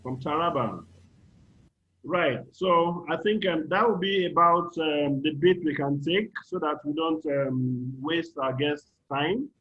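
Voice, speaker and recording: Nigerian male moderator, slightly high voice, informal workshop host on a dull-sounding video call. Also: slow pace, a little hiss or hum. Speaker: workshop host calling on participants. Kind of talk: informal online meeting moderation. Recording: dull lo-fi online call audio.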